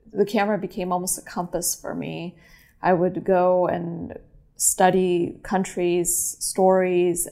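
A woman in her thirties who grew up in California, answering interview questions in a measured, reflective way. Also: lowish voice, creaky, a little mellow. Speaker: photographer guest.

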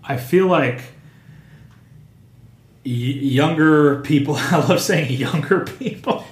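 A man's voice: fairly high pitch, slow. A man co-hosting a casual podcast, hesitant and full of fillers. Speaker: podcast co-host. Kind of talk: casual chat. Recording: roomy small room.

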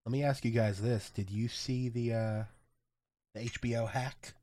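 A man's voice: medium pitch, steady tone, moderate pace, a little mellow. A man co-hosting a podcast while sick, his voice a little off, rambling in casual chat. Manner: rambling, repeats words as he talks. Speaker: podcast co-host.

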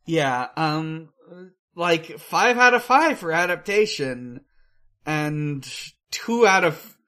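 A podcast host in casual film review chat, with a halting, rambling delivery. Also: medium pitch, lively intonation, bright, forceful tone.

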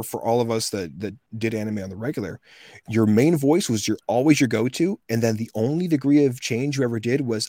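A man's voice: mid-range voice, darkish tone, no room sound. A man in his thirties, a voice actor, telling stories in a casual interview and acting out the people he quotes. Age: 30s. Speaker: voice actor guest.

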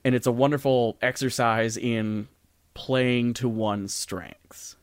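A man's voice: mid-range voice, fairly even intonation, slow, clean tone, dry recording, studio quality. A man co-hosting a podcast, talking casually and informally, hesitantly thinking aloud as he goes. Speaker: podcast co-host. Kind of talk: casual discussion of music and theatre.

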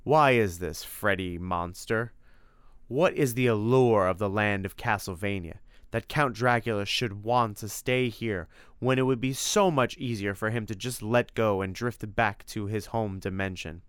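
Man acting a grave, formal monster hunter, crisp-voiced. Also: lowish voice, unhurried, dry recording, clean studio sound, close to an everyday voice. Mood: serious. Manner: stiff, old-fashioned formal phrasing.

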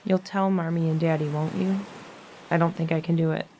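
A woman acting in a modern audio drama as a gentle, ailing young sister, earnest and reflective, with quiet resolve. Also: low voice, steady tone, fairly quick, a little hiss or hum. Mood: resigned, tender.

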